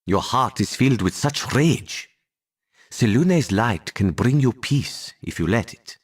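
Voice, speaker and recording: A solemn adventurer in a fantasy game. The husky voice is slow and dramatic, with wide movement in pitch. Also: male voice, expressive.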